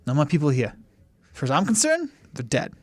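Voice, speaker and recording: A man's voice: a little high, huge pitch swings, fairly quick, no room sound, voice pushed up. A man acting a blunt, angry, commanding saboteur in a tabletop game. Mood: angry, resolute. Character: Taylor, angry, decisive saboteur ally.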